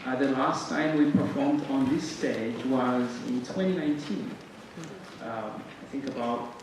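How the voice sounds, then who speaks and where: A man with a Ugandan accent giving a halting welcome speech as director of a music ensemble, with frequent pauses and repeated words. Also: mid-range voice. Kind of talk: welcome speech to a concert audience. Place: concert stage in a hall. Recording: very echoey hall, distant mic, faint noise.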